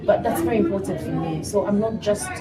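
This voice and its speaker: A woman's voice: medium pitch, quick pace, a loud crowd. A young woman from Uganda with a Ugandan accent, speaking animatedly as a gospel singer being interviewed, often saying "you know". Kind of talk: interview conversation. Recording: distant mic, noisy background.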